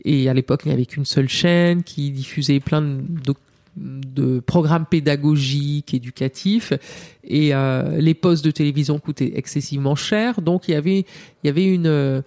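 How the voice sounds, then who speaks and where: A middle-aged woman, a TV journalist, speaking reflectively in an interview. She often pauses with fillers and restarts her sentences. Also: low voice, warm tone. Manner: hesitant, many fillers and restarts.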